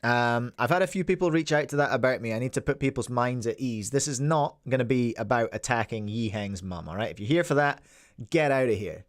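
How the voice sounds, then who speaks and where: A man's voice: mid-range voice, a little fast, no echo, studio quality. A Scottish man in his forties hosting a casual livestream podcast, rambling and chatting with viewers by name. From Scotland. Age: 40s. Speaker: podcast host.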